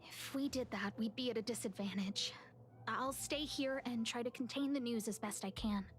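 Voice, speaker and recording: A woman's voice: a little high, moderate pace, soft background music, lightly acted. An actress playing a responsible, level-headed young woman in a video game. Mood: urgent, composed.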